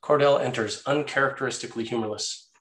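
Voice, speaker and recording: A man's voice: medium pitch, quick pace, a bit bright. A man reading stage directions as the narrator of a screenplay table read.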